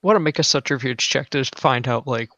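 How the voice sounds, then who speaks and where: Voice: mid-range voice, a little fast, fairly bright tone, dry recording, lo-fi sound. A tabletop roleplaying player who joins in with playful, teasing banter.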